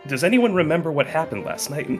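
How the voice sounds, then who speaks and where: A man's voice: a little high, sing-song, quick pace, faint music behind. A man chatting casually and jokingly as a player in a tabletop role-playing game.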